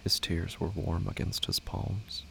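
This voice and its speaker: A man's voice: sits low, steady tone, unhurried, gravelly, slight background noise, heavily acted. A man narrating a horror audio drama with grave, tense, scripted delivery. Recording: loud music under the voice.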